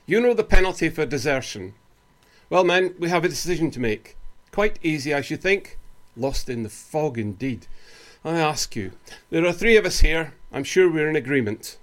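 A stern army officer, acted by a man for a radio war drama. His voice sits fairly high for a man, and he speaks with curt, scornful authority, impatient with anyone below him. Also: big pitch swings, moderate pace, a bit bright. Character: stern, impatient senior British army officer presiding over court-martial. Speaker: actor in a radio play.

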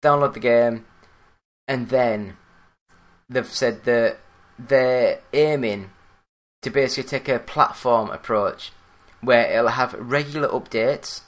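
A man with a Yorkshire accent hosting a solo gaming news podcast, talking in a fairly monotone, plain-spoken way. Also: medium pitch, slow. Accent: Yorkshire English. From Yorkshire, England. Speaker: podcast host.

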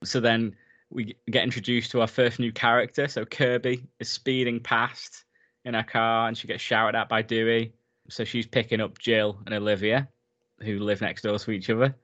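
A man's voice: mid-range voice, little pitch movement, moderate pace, fairly bright tone, lo-fi sound. A man in a casual film recap chat, enthusiastic and talkative, laughing often as he goes. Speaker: podcast co-host.

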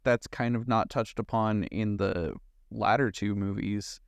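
A man's voice: lowish voice, steady tone, measured pace, vocal fry, no echo, clean studio sound. A man co-hosting a casual chat about movies, thinking aloud in loose, conversational asides. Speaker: podcast co-host.

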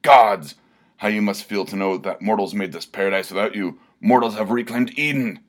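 A man putting on a blustering barbarian warrior, lecherous and full of self-pity, roaring his lines with theatrical bombast. The voice sits low and deep under the shouting. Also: steady tone, moderate pace, natural voice. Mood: bellowing grandly. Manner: booming, theatrical bellowing.

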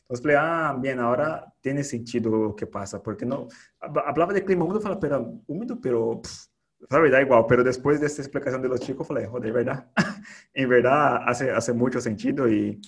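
A man's voice: mid-range voice, fairly quick, husky. A man hosting a podcast interview in casual chat, slipping words into his Spanish with many restarts. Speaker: podcast host.

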